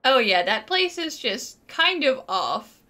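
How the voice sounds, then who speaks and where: High, bright female gamer with animated delivery. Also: takes it slowly. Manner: sarcastic, joking. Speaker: gaming channel host. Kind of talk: playful gameplay commentary, improvised character dialogue.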